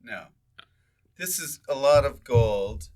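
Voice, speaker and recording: A man hosting a podcast, chatting casually and jokingly, prone to breaking into shouted comic bits. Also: medium pitch, expressive, slow, a loud crowd. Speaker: podcast host.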